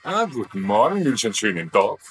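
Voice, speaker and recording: An actor playing a flustered, blustering army prison guard with a Bavarian-Austrian accent, excitable and folksy in a comedy dub. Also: male voice, lowish voice, a little fast. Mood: indignant. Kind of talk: German comedy dub acting.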